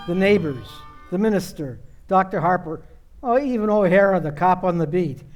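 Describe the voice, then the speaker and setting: Man as genial radio play narrator, high voice, faint music behind. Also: expressive, moderate pace. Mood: storytelling. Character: genial storytelling announcer of a suspense radio play. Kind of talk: old time radio drama narration.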